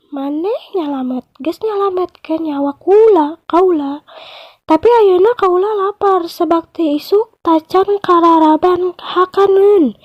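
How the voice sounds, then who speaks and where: A woman voicing a high, pleading crocodile from a fable. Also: no room sound, lo-fi sound. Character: sly, hungry crocodile who pleads, then turns treacherous.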